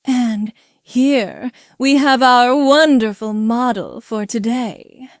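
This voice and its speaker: A performer, a man, voicing a glamorous saleswoman with a smooth, commanding, teasing purr for a story. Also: very high voice, slow pace, no room sound, studio quality, voice pushed up, heavily acted. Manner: purring, commanding showmanship.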